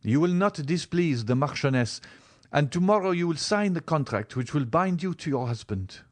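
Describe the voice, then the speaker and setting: A man reading an audiobook, voicing a passionate young man who speaks in an earnest, measured and bitter way. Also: a little high, animated, pitched above the performer's own voice, lightly acted.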